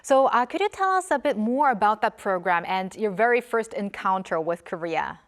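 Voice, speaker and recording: A woman hosting a news interview program, reading a scripted introduction and asking questions in a polished, steady way. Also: medium pitch, moderate pace.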